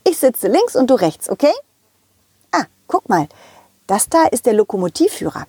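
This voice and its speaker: A woman voicing a cheerful, eager little male dog who talks excitedly to children in an audio play. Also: fairly high pitch, moderate pace, husky, no room sound, studio quality, full character voice. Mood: cheerful, explaining. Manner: excitable, addresses children directly.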